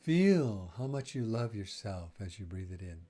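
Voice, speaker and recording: A man leading a guided breathing meditation, speaking gently in short phrases with long, unhurried pauses. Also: lowish voice, big pitch swings.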